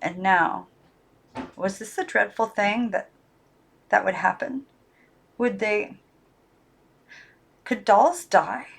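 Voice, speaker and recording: Woman reader, mid-pitched voice, calm storybook narration, fixes small slips as she goes. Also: takes it slowly, vocal fry, pauses often, slight background noise. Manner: calm, even narration with small self-corrections. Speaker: audiobook reader. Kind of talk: reading a children's novel aloud.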